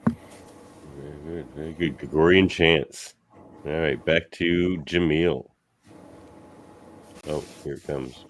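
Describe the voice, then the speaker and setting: A very deep-voiced man hosting a laid-back debate show. He talks loosely, tossing in quick asides and laughing between turns. The delivery stays relaxed and conversational. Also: a little hiss or hum, rough recording. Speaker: podcast host.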